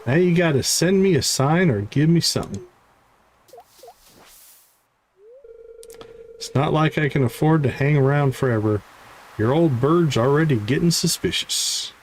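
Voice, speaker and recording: A man's voice: fairly high pitch, lively intonation, moderate pace, slight background noise, close to an everyday voice. A man voicing a guarded sailor's son from a video game, talking in folksy, ungrammatical seafaring speech. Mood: wry, impatient. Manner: folksy, dropped-grammar sailor talk. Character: gruff, guarded sailor son grieving his father. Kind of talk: reading video game dialogue aloud.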